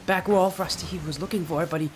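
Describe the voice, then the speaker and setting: A young male esports caster calls the match on his own with a high, light voice. He sounds a little stuffy in the nose and breaks into excited, high-pitched shouts when a play surprises him. A faint hum sits under his voice. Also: fast talker. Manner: excitable, yells in high-pitched bursts at big plays. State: slightly stuffy from allergies. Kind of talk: solo play-by-play commentary.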